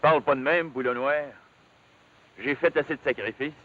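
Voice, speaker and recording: An actor playing a rough, ardent rural logger in a 1950s television drama, with a Quebec French accent and earnest, colloquial speech. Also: male voice, mid-range voice, normal speed, lightly acted. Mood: defensive. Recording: muffled, tinny 1950s television soundtrack.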